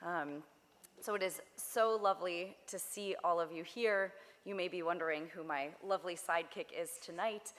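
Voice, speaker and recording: A young woman with a lower voice, slightly hoarse, leading a worship service through a body mic. She talks in a chatty, friendly way, and her words ring with the long reverb of a large sanctuary. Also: moderate pace, a bit bright. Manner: chatty and friendly, many fillers. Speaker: service leader. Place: synagogue sanctuary.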